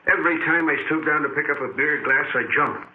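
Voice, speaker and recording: An actor playing a jittery, superstitious bartender who mumbles in folksy, ungrammatical speech, in 1940s radio style. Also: male voice, a little high, fairly even intonation, quick pace, lightly acted. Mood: uneasy, muttering. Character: jittery, muttering bartender spooked by shadows. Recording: noisy, narrow-band old radio recording.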